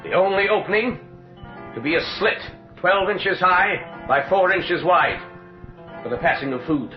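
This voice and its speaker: An actor playing a stern high court president, solemnly declaiming sentences in a formal radio drama style. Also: male voice. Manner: solemn, declamatory, measured phrasing. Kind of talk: 1980s radio drama acting, formal proclamation. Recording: echoey, dull old radio recording, music behind.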